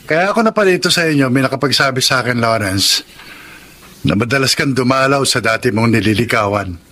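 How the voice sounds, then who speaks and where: An actor with a Filipino accent playing a concerned, reproachful young friend in a radio drama. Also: male voice, medium pitch, animated, a little fast, pushed and bright.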